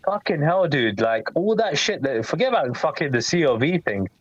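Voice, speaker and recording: A man who works in health care, talking excitedly in a casual chat and swearing heavily. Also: on the high side, normal speed, breathy edge. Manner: excitable, swears heavily, says dude and bro. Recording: dull, muffled lo-fi sound.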